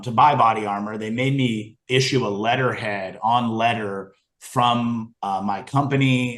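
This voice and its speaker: A man's voice: medium pitch, a bit bright, echoey room. Rambling man presenting on a video call. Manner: rambling, many ums, wry asides. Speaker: committee member presenting a supplier. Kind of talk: casual meeting presentation.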